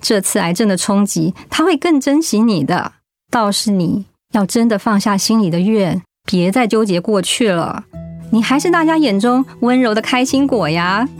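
A woman's voice: medium pitch, animated, normal speed, dry recording, close to an everyday voice. Woman acting a wounded, resolute mother, music behind. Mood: warm, reassuring. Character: hurt, resolute mother leaving her marriage. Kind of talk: radio drama acting. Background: background music.

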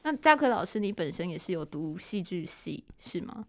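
Woman, Taiwanese Mandarin accent, slightly low pitch, podcast host, casual, engaged, reflective interviewing. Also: big pitch swings, moderate pace.

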